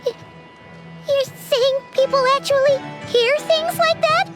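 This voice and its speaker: A woman's voice: extremely high pitch, measured pace. A woman voicing a small, chatty fairy girl companion in a video game, talking eagerly and curiously. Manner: eager, chattering, refers to herself by name. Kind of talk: game voice acting. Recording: game dialogue over background music.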